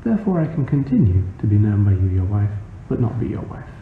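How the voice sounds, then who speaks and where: A man voices a reluctant young woman in a literary story. The manner is gentle and reasoning. It comes through thin and phone-like, with clear noise behind it. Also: on the low side, very animated, brisk. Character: reluctant young woman parrying a marriage proposal. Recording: muffled phone-like sound, noisy, roomy echo.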